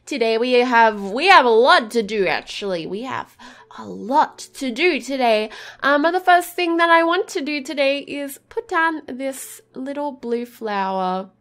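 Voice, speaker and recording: A woman's voice: high voice, expressive, a little slow, fairly bright tone. A woman hosting a gaming channel, chatting bubbly and casually through her gameplay while sniffly with a cold, with many ums and little gasps.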